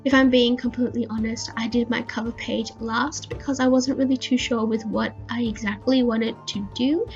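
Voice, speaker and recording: A woman, a little croaky from being sick, narrating her journal setup in a soft, chatty and enthusiastic voice-over. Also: fairly high pitch, lo-fi sound. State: slightly croaky from illness. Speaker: bullet journal video creator. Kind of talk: voice-over narration of a crafting video. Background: faint background music.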